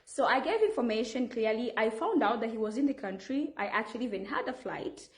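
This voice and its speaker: A woman's voice: fairly high pitch, lively intonation, a little fast, echoey room. A woman from Rwanda with a Rwandan accent, making an earnest, insistent appeal straight to camera and often restarting her sentences. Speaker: vlogger making a public appeal.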